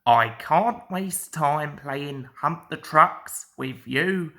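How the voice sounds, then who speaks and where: A fussy old male tram engine from a children's storybook, played by a woman reader. He grumbles sternly in a fairly low voice and drags out his words very slowly. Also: animated, sharp tone, exaggerated voice. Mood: cross.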